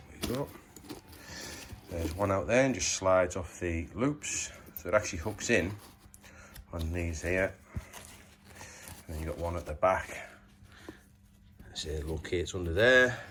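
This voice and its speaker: A man with a very deep voice talks through a hands-on installation job. He is casual and matter-of-fact, pausing and hesitating as he works, with no script. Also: noisy background. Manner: matter-of-fact, hesitant, talking while working with his hands. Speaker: presenter of a DIY installation tutorial. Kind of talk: casual unscripted how-to demonstration.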